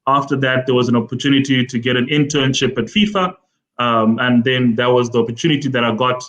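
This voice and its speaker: A man in his forties from Zimbabwe speaks as the guest of a live online interview, his middle-pitched voice coming through a slightly dull, lo-fi call line. He talks in long, measured explanations, pausing on frequent fillers. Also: flat delivery, a bit bright. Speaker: guest, football development official. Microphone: video call.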